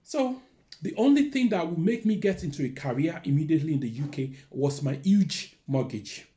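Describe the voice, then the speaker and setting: A man's voice: fairly high pitch, animated, moderate pace, dark tone. A middle-aged man with a Nigerian accent, a careers adviser earnestly telling his own career story and giving advice. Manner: earnest, candid, repeats phrases like "to be honest".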